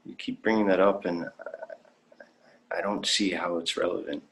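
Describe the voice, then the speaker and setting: A man's voice: low voice, steady tone, measured pace, vocal fry, a little hiss or hum, poor audio. A young man playing a naive, idealistic alien youth in a dramatic reading, earnest and prone to stammering. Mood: confused, hurt. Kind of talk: dramatic fan fiction reading.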